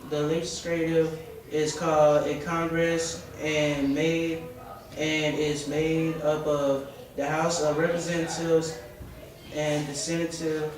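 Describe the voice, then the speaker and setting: A boy of about twelve or thirteen reading a school speech aloud haltingly, stumbling over words and correcting himself. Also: fairly high pitch, little pitch movement. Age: 12-13 years old, seventh grader. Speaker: student contestant giving a speech. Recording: echoey room from far off, noisy background.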